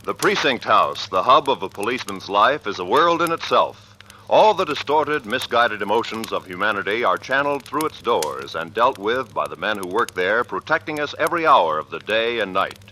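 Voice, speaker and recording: A man's voice: fairly low pitch, big pitch swings, normal speed, sharp tone, poor audio. A man reading a dramatic promo as an announcer on old-time radio. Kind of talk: 1950s radio promo narration. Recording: old radio recording, faint noise.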